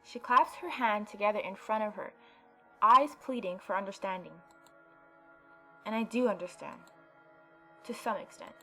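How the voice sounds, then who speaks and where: A woman's voice: medium pitch, moderate pace, long pauses, sharp tone, soft background music. A woman hosting a gaming video, reading visual novel narration aloud in a dramatic storytelling way with playful asides.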